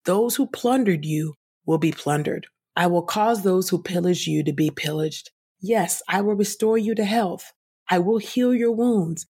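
A woman reading scripture aloud as a podcast host, in a measured, declarative way. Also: lowish voice, darkish tone, husky.

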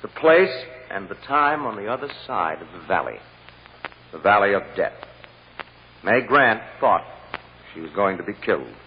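An actor playing an eloquent, protective defense lawyer in a 1940s radio drama, solemn and measured with dramatic pauses. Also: male voice, low voice. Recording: muffled noisy old radio, reverberant hall.

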